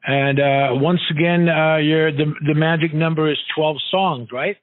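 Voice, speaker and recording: A man hosting a casual radio interview, haltingly forming his questions and repeating words as he goes. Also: fairly high pitch, fairly even intonation, no echo. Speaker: radio show host, interviewer. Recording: narrow, dull phone-like sound.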